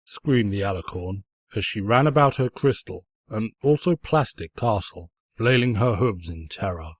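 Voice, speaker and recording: A man's voice: on the low side, animated, moderate pace, no echo. A man reading a story as a theatrical narrator, mock-pompous and sardonic. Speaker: narrator, fan fiction reader.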